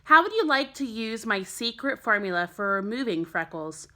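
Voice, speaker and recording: A kindly, firm schoolteacher in a storybook, acted out by a woman reading aloud. She coaxes and instructs in measured phrases, with a bright, very animated voice. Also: on the high side. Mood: coaxing. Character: composed female schoolteacher, kindly but firm.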